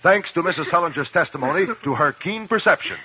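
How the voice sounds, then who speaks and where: A muffled old radio drama recording of a composed male investigator. He has a slightly rough voice and an American accent, and he questions formally and precisely, weighing each phrase. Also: fairly high pitch, lively intonation, fast talker. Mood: firm, accusing. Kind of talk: 1950s radio drama acting.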